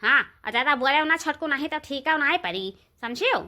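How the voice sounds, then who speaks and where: A woman's voice: sits high, brisk, bright, forceful tone. A woman voicing a bossy, scolding village housewife in a comedy cartoon, with an eastern Uttar Pradesh accent and emphatic, repetitive complaints. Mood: indignant scolding.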